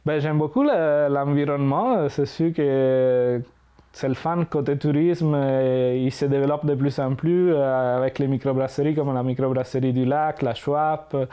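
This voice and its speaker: Italian-accented man, 30s, smooth warm tone, hesitant interview talk. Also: medium pitch, a little slow. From Italy. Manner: hesitant, frequent fillers. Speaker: immigrant interviewee.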